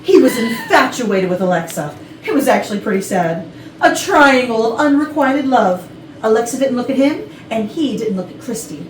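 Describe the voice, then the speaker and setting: A woman's voice: a little high, very animated, normal speed. A woman playing a snippy, guarded office employee in a staged comedy reading, with a pointed, disdainful manner. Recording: distant mic, echoey noisy room.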